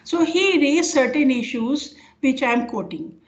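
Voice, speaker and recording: An older woman with an Indian accent giving an informal academic lecture over a video call, speaking haltingly as she explains with examples. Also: high voice. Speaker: professor giving an online lecture. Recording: dull, lo-fi online call audio.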